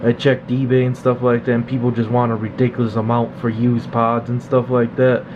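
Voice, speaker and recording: A man's voice: mid-range voice, fairly quick. Man narrating casually over noisy, dull audio. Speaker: repair technician demonstrating his work. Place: indoor electronics workshop. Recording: slightly dull, noisy hum of room. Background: steady background noise.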